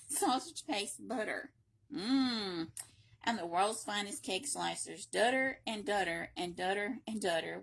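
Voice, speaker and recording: Expressive higher-voiced woman reading aloud. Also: gravelly, slightly rough. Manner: playful, expressive, emphatic with repetition and dramatic hushes. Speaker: library read-aloud reader. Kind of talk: animated children's picture book reading with playful asides.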